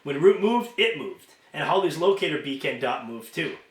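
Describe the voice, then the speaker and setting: A man reading a novel aloud as an amateur narrator, expressive and informal, sometimes stumbling and correcting himself. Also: fairly high pitch, moderate pace. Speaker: amateur audiobook reader. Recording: roomy, echoing home recording.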